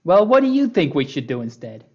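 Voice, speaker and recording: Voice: mid-range voice, sing-song, a little fast, dull audio, close to an everyday voice. An actor playing a welcoming campus character in a musical comedy audio drama. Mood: announcing. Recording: loud guitar music over voice.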